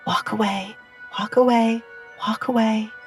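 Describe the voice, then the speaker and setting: A woman's voice: fairly high pitch, steady tone, measured pace, reverberant, faint music behind, pitched above the performer's own voice, lightly acted. A woman voicing a defiant, determined young noblewoman, guarded and stubborn, in dramatized audiobook dialogue. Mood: anxious, urgent.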